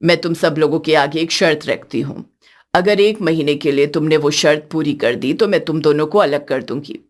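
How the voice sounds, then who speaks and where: A woman's voice: low voice, normal speed, voice pushed down, close to an everyday voice. A woman voicing a stern, weary older mother-in-law in a cartoon story, firm and admonishing. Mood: commanding. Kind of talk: Hindi cartoon story acting.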